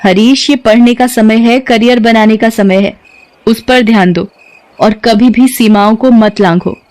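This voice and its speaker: A woman's voice: a little high, a little slow, darkish tone, close to an everyday voice. A woman voicing a principled female tutor in an audio story, firm and admonishing in manner. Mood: reproachful, firm. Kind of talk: dramatized audio story acting.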